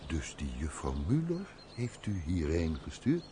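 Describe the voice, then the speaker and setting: A man's voice: deep voice, wildly swooping pitch, unhurried, dark tone, a little hiss or hum, exaggerated voice. An actor playing a loyal, frank and compassionate male servant in a radio play. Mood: inquiring.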